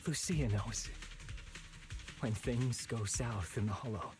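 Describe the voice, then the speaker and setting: A man's voice: medium pitch, takes it slowly, close to an everyday voice. A man playing a downcast, puzzled young night watcher in a video game. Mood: hurt, downcast. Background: game music.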